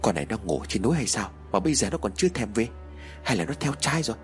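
A man's voice: fairly low pitch, big pitch swings, fast talker, soft background music. A man voicing a cruel middle-aged grandmother who scolds coarsely and hurls threats. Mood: bitter, resentful.

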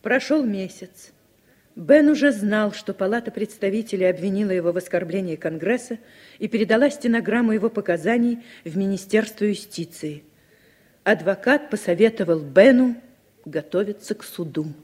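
A woman's voice: mid-range voice, lively intonation, moderate pace, a little mellow, lightly acted. An actress playing a watchful woman who tells her story in dramatic scripted style in a Soviet radio play. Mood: reflective narration. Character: watchful woman narrating her memories, questioning her partner. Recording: vintage radio play with music.

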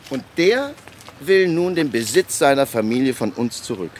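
An actor playing a government official in a radio play, telling a case emphatically with dramatic pauses and acted-out dialogue. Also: male voice, mid-range voice, expressive, a little hiss or hum, natural voice. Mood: indignant. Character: animated male government official recounting a land restitution case. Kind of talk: conversational monologue in a radio play.

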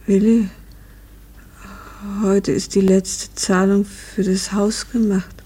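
A devoted middle-aged wife worn by grief in a 1950s German radio drama. Her voice is hushed and very breathy, with a slow, halting delivery. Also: mid-range voice, flat delivery. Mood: numb grief. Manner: slow, halting, repeating phrases.

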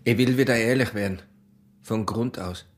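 An actor playing a gruff, shamed but resolute border guard in a radio drama. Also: male voice, on the low side, moderate pace, over loud music, natural voice.

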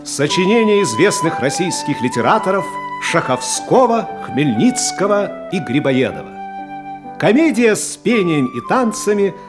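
An actor playing a lively announcer of a stage comedy, declaiming playfully in a theatrical narration. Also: male voice, high-pitched, a little slow. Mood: playful pomp. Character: lively male announcer of an old vaudeville comedy. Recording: loud music behind the voice.

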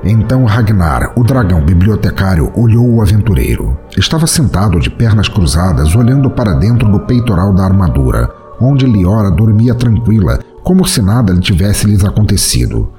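A man narrating a scripted fantasy story as podcast host, with a dramatic, expressive delivery. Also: fairly low pitch, normal speed, few pauses, faint music behind.

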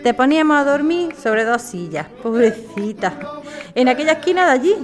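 A woman's voice: high voice, expressive, normal speed, faint music behind. A woman playing a nostalgic widowed mother of a grown daughter in an audio drama. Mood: nostalgic, worried.

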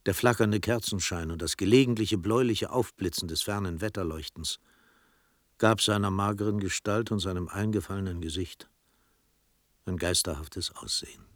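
Deep-voiced male radio drama narrator. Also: moderate pace, dry recording, studio quality.

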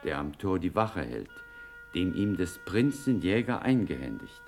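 A man's voice: deep voice, sing-song, measured pace, darkish tone, faint music behind, exaggerated voice. An actor playing a subdued, respectful attendant addressing his prince in a classical German radio drama. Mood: subdued, deferential.

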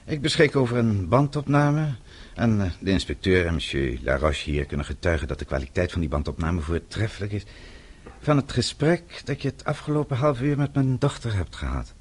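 Deep-voiced, coldly composed avenging father. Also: animated, breathy edge. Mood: calm triumph. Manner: measured, deliberate, formal. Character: vengeful father, composed, coldly methodical older man. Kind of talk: radio play acting.

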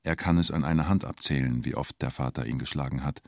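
An actor playing a wry, colloquial storyteller in a radio drama, speaking in clipped present-tense phrases. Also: male voice, very deep voice, fast talker, darkish tone, no room sound, clean studio sound. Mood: wry, observing. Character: male storyteller recalling the bank siege, wry and colloquial.

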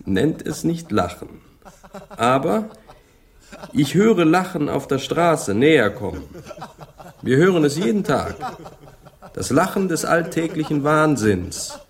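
Sardonic, expressive period physician. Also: male voice, a little high, takes it slowly, long pauses, full character voice. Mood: mocking, ominous. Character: cynical, sardonic English physician of Shakespeare's day. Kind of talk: theatrical German radio drama acting.

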